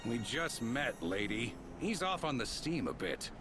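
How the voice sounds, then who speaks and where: An actor playing a gruff, folksy man with a soft heart in a video game cutscene, talking in a colloquial way. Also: medium pitch, sing-song, a little slow. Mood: defensive. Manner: colloquial, folksy drawl phrasing. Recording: loud music behind the voice. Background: loud game music.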